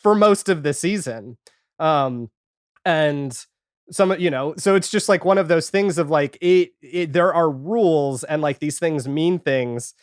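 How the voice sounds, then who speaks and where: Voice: mid-range voice, a little slow, clear, resonant tone, no room sound, clean studio sound. A man chatting casually as a podcast guest, rambling and retelling things with quoted reactions. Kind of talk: casual podcast chat about a TV series.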